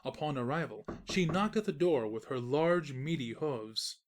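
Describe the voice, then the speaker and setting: Casting: female narrator for a comic story reading, deep register, animated intonation. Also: moderate pace.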